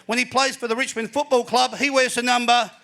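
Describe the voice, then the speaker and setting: An Australian man hosting a live panel show before an audience, energetic and announcer-like, with plenty of fillers. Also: very high voice, brisk, gravelly. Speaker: host.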